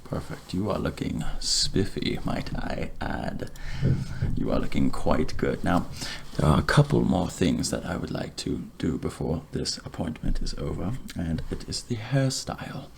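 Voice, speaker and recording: Hushed, very creaky ASMR barber murmur. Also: a bass voice, very animated, a little slow, slight background noise. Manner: hushed, breathy, often slipping into whisper; courteous, soothing, slightly formal phrasing. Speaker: ASMR creator playing a barber. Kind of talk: ASMR roleplay, soft-spoken personal attention.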